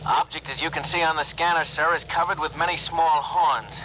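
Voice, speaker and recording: An actor playing an eager, dutiful spaceship officer, brisk, earnest and deferential, in a 1950s radio drama. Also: male voice, fairly high pitch, steady tone, sharp tone. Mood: alert, reporting. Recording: faintly noisy old radio broadcast.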